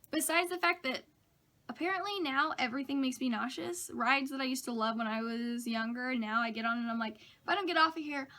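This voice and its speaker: A young woman, a bit hoarse from a cold, telling funny stories about her week to camera in a chatty, self-mocking way. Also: fairly high pitch, sharp tone. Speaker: vlogger. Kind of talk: casual chat to camera.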